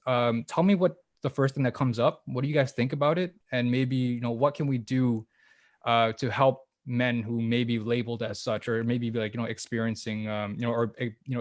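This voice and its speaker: A man moderating a panel over a video call. He talks quickly and hesitantly, piling on fillers and restarting his thoughts. His pitch sits in an ordinary middle range. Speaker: panel host and coach. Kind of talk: moderating an online panel discussion.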